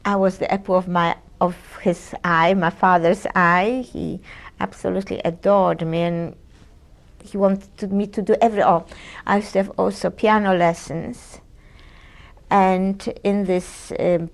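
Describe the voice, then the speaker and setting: Hesitant Polish-born woman with a gravelly voice. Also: fairly low pitch, steady tone, unhurried. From Poland. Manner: hesitant, searching for words with many ums and restarts. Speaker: interviewee. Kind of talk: oral history testimony. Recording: 1980s audio cassette interview.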